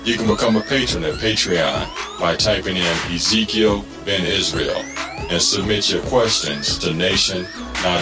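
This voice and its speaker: A man hosting religious teachings, addressing listeners directly in a didactic, scripted introduction. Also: low-pitched. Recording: roomy, echoing voice track. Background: music.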